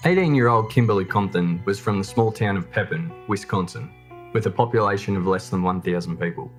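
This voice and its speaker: A man narrates a true crime documentary in a very low voice. His delivery is scripted storytelling, with music playing clearly behind him. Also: fairly quick. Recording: music bed under the voice. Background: background music.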